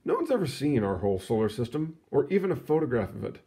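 A man narrates a children's science book with expressive, explanatory delivery. His pitch moves around a lot, lively and varied. The tone is mellow and relaxed, with a husky edge. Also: fast talker. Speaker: read-aloud narrator. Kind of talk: reading a children's science book aloud, with short asides.

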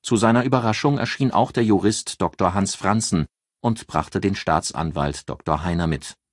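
A man giving scripted audiobook narration of a novel in a measured, careful storytelling manner. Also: deep voice, no room sound, studio quality.

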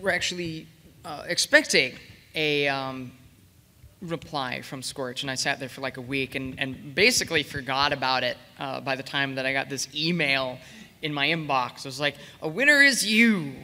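Bright-voiced man on an echoey panel. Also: mid-range voice, fairly even intonation. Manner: halting, jokey. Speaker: convention panelist, amateur voice actor. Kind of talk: casual panel anecdote. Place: large convention room with audience. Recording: echoey hall, noisy public-address pickup.

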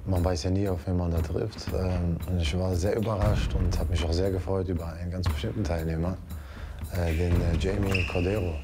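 Very deep, flat-voiced fighter. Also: male voice, brisk, noisy background.

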